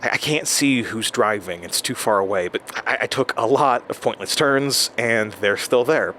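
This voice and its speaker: An actor in an audio drama playing a rattled, self-doubting man who records a halting, stammering journal, talking to himself. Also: mid-range voice, natural voice. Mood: paranoid. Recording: steady noise, like inside a moving car.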